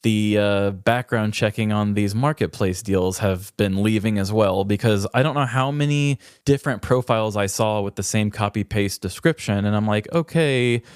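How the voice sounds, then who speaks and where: Man, low voice, casual podcast banter, wry self-deprecating storyteller, very dry sound. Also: moderate pace, hardly any pauses, clear, resonant tone, dry recording. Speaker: podcast co-host. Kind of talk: casual comedic storytelling chat.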